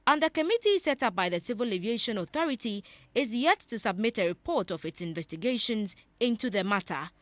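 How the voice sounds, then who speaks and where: A woman's voice: fairly high pitch, lively intonation, a little fast, dry recording. A woman with a Ghanaian accent reading a scripted television news report.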